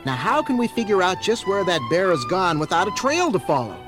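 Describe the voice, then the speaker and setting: A man's voice: high-pitched, very animated, brisk, over music. An actor playing an earnest, decisive young male leader of a mystery gang in a children's cartoon audio drama. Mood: take-charge. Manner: decisive, urgent, clearly projected. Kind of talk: 1970s children's record cartoon acting. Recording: noisy old vinyl album transfer.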